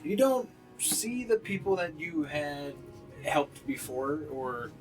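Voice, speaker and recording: A man's voice: a little high, big pitch swings, slow, rough recording. Man game master over guitar music. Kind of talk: narrating a live tabletop roleplaying game, casual. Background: plucked guitar music.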